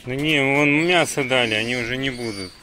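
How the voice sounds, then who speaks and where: A woman's voice: very deep voice, brisk, pushed and bright. A woman at an outdoor zoo, chatting casually and coaxing a child, filmed on a phone. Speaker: parent on a zoo visit. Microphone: phone camera held by the filmer. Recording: background music over phone audio.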